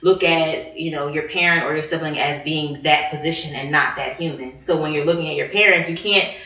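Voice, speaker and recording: A woman's voice: deep voice, fairly even intonation, brisk, sharp tone. A woman co-hosting a casual discussion, reflective, often using "like" as she talks. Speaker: podcast co-host. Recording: echoey room, far from mic.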